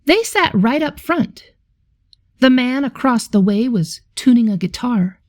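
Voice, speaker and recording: A woman reads a picture book aloud to children in a teacher's style. Her delivery is unhurried and very animated, with a medium pitch and a dry sound. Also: no room sound. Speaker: teacher reading stories to children. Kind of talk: expressive children's storybook read-aloud.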